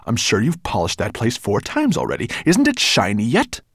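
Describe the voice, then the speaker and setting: A huge golden dragon out of a storybook, acted out by a man, growling and grunting his scornful orders. He is vain, imperious and impatient, and his gravelly delivery swings widely in pitch. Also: huge pitch swings, a little slow, no echo, studio quality, heavily acted. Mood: gruff, impatient.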